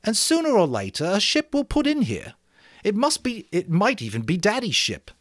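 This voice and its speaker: An earnest young boy leader acted by a man addresses his group in an audiobook story. His pitch is raised and swings freely as he stresses his points with emphatic conviction. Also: huge pitch swings, normal speed, no echo, clean studio sound, exaggerated voice. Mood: hopeful, rallying. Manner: emphatic, speech-making to a group. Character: earnest young schoolboy leader rallying the others. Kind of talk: dramatic audiobook character reading.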